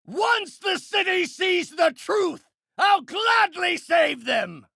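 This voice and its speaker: An actor playing an enraged older mad-scientist supervillain in a video game, shouting with theatrical, arrogant contempt. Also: male voice, very high voice, big pitch swings, moderate pace, creaky, dry recording, clean studio sound, full character voice. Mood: furious. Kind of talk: video game villain acting.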